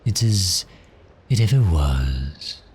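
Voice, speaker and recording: Very deep, unhurried male vampire, acted by a man. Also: animated, slight background noise. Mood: calm, quietly gloating. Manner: courteous, unhurried, deliberate, softly menacing. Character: polite, cheerful, menacing male vampire toying with prey. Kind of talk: slow ASMR roleplay acting.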